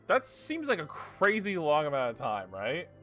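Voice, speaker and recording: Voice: medium pitch, wildly swooping pitch, moderate pace, fairly bright tone. A streamer giving casual, chatty, reactive commentary while playing a game. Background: game music.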